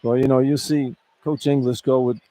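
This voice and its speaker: A man's voice: medium pitch, flat delivery, moderate pace, dark tone. A man giving live radio color commentary on basketball, explaining plays conversationally and often repeating his phrases. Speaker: color analyst. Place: college basketball arena. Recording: arena noise behind live broadcast. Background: arena ambience.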